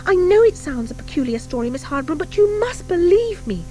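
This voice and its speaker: Lady doing little witch schoolgirl, really high voice, desperate n earnest, storybook style, very bouncy pitch. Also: very animated, brisk, warm tone, soft background music, rough recording. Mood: desperate pleading. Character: eager, anxious young schoolgirl witch, pleading.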